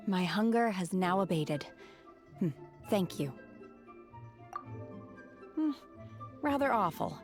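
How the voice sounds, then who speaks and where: An actress playing an aloof, blunt young woman from the mountains in a video game, speaking calmly and formally. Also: mid-range voice, extremely slow, long pauses, soft background music. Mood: reflective. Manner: calm, formal, blunt, sighing. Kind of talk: anime-style video game voice acting.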